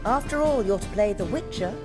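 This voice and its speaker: An actress playing a poised, refined stage performer in a video game, articulate and gently persuasive. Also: female voice, medium pitch, expressive, brisk, lightly acted. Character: poised, refined theatre troupe woman, experienced stage performer. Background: music.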